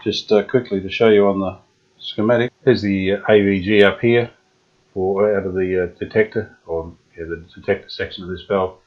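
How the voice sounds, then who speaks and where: A man restoring an old radio, explaining each step in an unhurried way with many pauses and fillers. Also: low voice, fairly even intonation, dull audio. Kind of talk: casual workshop demonstration.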